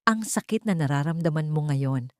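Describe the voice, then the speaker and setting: A woman narrator plays a mysterious, wealthy gentleman in an audio romance. The delivery is grave, measured and protective, on the low side for a female voice. The sound is dry and close. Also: expressive, no room sound. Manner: measured, solemn, formal.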